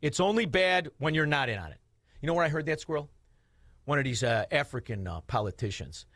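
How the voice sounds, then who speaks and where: A man, hosting talk radio in a combative, mocking rant with shouted outbursts. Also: on the high side, animated, a little fast, dry recording, studio quality. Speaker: radio talk show host.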